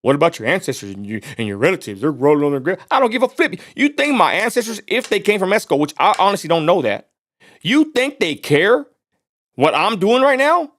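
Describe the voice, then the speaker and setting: A man's voice: sits high, big pitch swings, a little fast, a bit bright. A man from Texas with a West Texas accent, hosting a livestream in a blunt, profane ranting style. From Texas, USA. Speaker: livestream host. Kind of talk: casual ranting livestream commentary.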